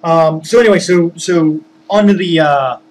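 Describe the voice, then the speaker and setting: A man telling a story casually, rambling and halting as he searches for words and digresses. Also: on the high side, a little mellow, slight background noise. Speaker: storyteller, former tabletop game master.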